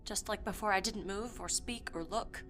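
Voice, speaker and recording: A woman playing a haunted, obsessive young woman who recounts a dark past in an intense audio drama monologue. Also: mid-range voice, moderate pace, close to an everyday voice. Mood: haunted, grim. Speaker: performer reading a dramatic monologue. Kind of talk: first-person audio fiction monologue. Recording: voice over prominent music.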